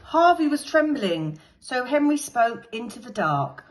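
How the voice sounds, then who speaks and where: A woman's voice: on the high side, big pitch swings, measured pace, rough recording. A woman teacher reading a picture book aloud to young children, telling the story expressively and dramatically. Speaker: infant school teacher.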